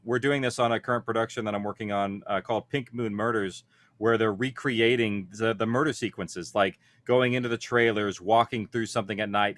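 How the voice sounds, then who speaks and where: Male panel speaker, medium pitch, casual explanatory delivery, very dry recording. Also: a bit bright, no echo. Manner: informal, explanatory. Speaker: panelist, audio producer. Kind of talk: conference panel talk.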